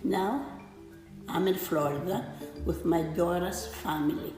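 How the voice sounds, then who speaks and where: An older woman from Greece with a Greek accent, telling her story in slow, halting phrases. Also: lowish voice, over music. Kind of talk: personal testimony. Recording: roomy, echoey recording.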